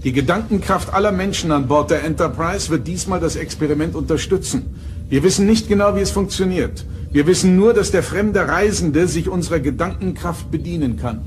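An actor playing an earnest starship captain in an audio drama, speaking formally and with authority. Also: male voice, fairly high pitch, fairly quick, a little hiss or hum, lightly acted. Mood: grave. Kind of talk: German audio drama acting. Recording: studio drama with music underneath.

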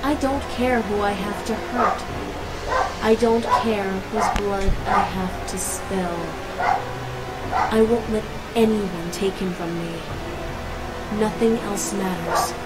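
A woman's voice: mid-range voice, fairly even intonation, slow, lightly acted. A woman voicing an obsessive, menacing teenage schoolgirl stalker in a video game, cold, intense and possessive. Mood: cold, determined. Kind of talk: dramatic game monologue and dialogue. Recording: noisy game playback, barking dog behind.

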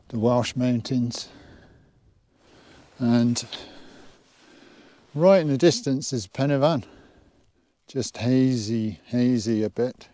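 A man's voice: medium pitch, warm tone, no room sound. An English man in his sixties with an English accent, chatting casually and wryly to camera as an outdoor vlogger, on a clip-on mic out on an open hilltop. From England. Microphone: clip-on lapel mic.

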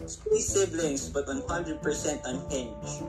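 Low-voiced woman, playful and chatty. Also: slow, roomy sound, music in the background. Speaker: sibling on a call. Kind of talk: casual chat.

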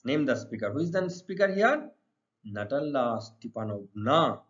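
A man's voice: medium pitch, sing-song, moderate pace, fairly bright tone. A middle-aged man with an Indian accent teaching an exam lesson, reading out questions and explaining the answers emphatically with repeated phrases. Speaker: English teacher. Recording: dull lo-fi recording.

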